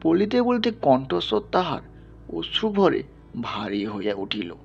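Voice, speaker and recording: A man's voice: on the high side, very animated, measured pace, gravelly, dull audio, soft background music. A man narrating a literary story in a dramatic style, as the reader of an audio tale.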